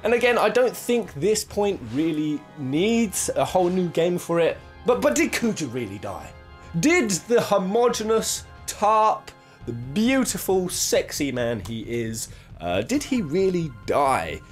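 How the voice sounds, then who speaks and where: A man's voice: high voice, sing-song, measured pace, faint music behind. A man hosting a casual, jokey commentary, talking in playful, florid exaggeration with crude swearing. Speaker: video host.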